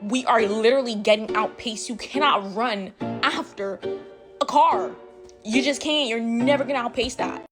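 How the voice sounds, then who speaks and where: A woman's voice: a little high, normal speed. A woman talking earnestly and emphatically to camera. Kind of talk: ranting to camera. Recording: clear music under the voice.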